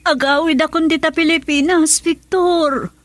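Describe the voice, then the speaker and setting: A woman's voice: high voice, fairly even intonation, measured pace, faint music behind. A woman playing a distressed, tearful wife and mother working abroad in an Ilocano radio drama. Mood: tearful, evasive. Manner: tearful, pleading.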